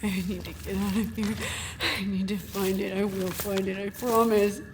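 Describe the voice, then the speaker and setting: A woman's voice: mid-range voice, level tone, normal speed, noisy background, lightly acted. A woman playing a desperate, insistent lost wanderer in an audio drama, pleading and vowing. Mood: desperate, determined.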